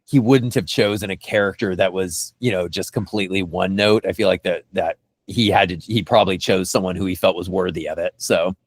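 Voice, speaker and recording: A man with a fairly low voice hosts a casual podcast, recorded close and dry. He is enthusiastic and halting, often circling back to repeat a phrase before he moves on. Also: steady tone, no echo. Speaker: podcast host.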